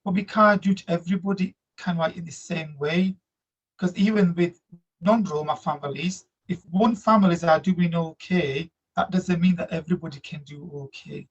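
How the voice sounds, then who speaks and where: Man, Slovak accent, earnest and reflective, husky, higher pitch, muffled audio. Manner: earnest, reflective, halting non-native grammar. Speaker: guest, migrant workers support officer. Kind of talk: interview answers. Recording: dull lo-fi audio.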